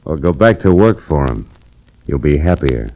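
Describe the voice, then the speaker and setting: A man's voice: extremely low pitch, very animated, normal speed, dark tone, rough recording. An actor playing an evasive, needling male garage owner in a 1940s radio drama. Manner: evasive, needling, mock-friendly. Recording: restored old radio broadcast.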